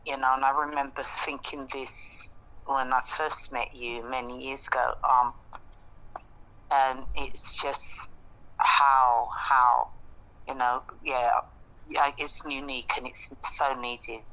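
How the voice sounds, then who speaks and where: A woman in casual, reflective conversation, speaking earnestly and haltingly as she works out her thoughts. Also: rumbling low, little pitch movement, bright, forceful tone, highs cut off.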